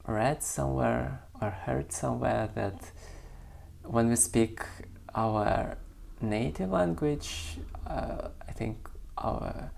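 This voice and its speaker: A man whose first language is not English, reading his own poem and then musing about it slowly and hesitantly, searching for words. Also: mid-range voice, gravelly, a little mellow, a little hiss or hum. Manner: slow, searching, hesitant with many pauses. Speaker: poet and channel creator.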